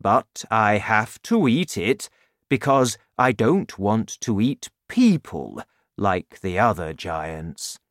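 A man voicing a kind-hearted storybook giant with a slow, emphatic, complaining manner. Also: lowish voice, very animated, no echo, clean studio sound, heavily acted. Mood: disgusted. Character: kind-hearted giant who hates his vegetable food.

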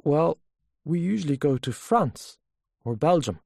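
Weary, defensive educated husband for an audiobook, played by a narrator: hesitant, guarded delivery, husky edge. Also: mid-range voice, lively intonation, unhurried, a little mellow, dry recording, studio quality. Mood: uneasy, defensive. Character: reserved, weary, defensive educated married man.

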